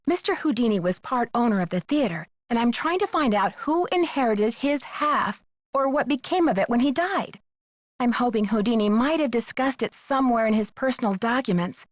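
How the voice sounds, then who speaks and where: An actress as a young American female detective from a mystery game, high-voiced and closely recorded with little room sound. She is polite, earnest and determined, and enunciates clearly. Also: lively intonation, normal speed, no echo, clean studio sound, natural voice. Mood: earnest, urgent. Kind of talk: video game voice acting.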